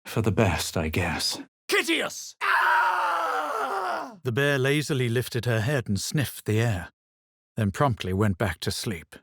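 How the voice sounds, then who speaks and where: An actor playing a haughty, self-assured adventurer in a fantasy video game, with a measured, dramatic and superior delivery. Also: male voice, medium pitch, huge pitch swings, a bit bright, dry recording, clean studio sound, full character voice. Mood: muttering, musing, then forceful, casting spells. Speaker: voice actor of a player character. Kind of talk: scripted video game character lines and narration.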